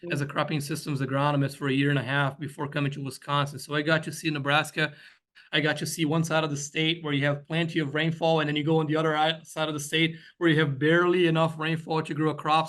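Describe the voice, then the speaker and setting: A man's voice: fairly high pitch, a bit bright. A man, a guest weed scientist, giving a slide presentation in a webinar and explaining step by step with frequent "you know" and "right?" checks.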